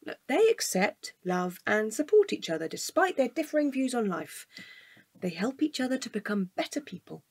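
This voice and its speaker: A woman's voice: fairly high pitch, moderate pace, husky, heavily acted. A woman playing a pedantic, dry-witted logician, expressively inflected. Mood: matter-of-fact. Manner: deadpan, precise, explanatory. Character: deadpan, pedantic, logical woman, wearily patient. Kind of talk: comedic audio drama acting.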